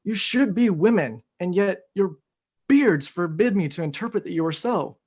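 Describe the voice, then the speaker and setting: Man as a higher-voiced, bold noble general. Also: animated, moderate pace, a little mellow, slightly rough. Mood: wary questioning. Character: bold noble general questioning strange witches. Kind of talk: Shakespearean radio play acting. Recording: dull, lo-fi sound.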